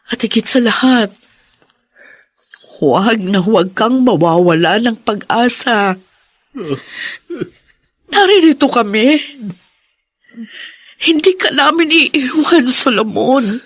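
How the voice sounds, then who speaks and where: An actress in a Filipino radio drama playing a devoted, encouraging daughter-in-law, speaking in an earnest, heartfelt way. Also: female voice, on the high side, expressive, slow pace, pauses often, husky, full character voice.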